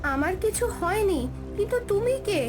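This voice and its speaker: A woman's voice: very high-pitched, animated, moderate pace, music in the background. A woman voicing a kind, brave young mermaid in a fairy-tale cartoon, speaking in an earnest, declamatory way. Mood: concerned.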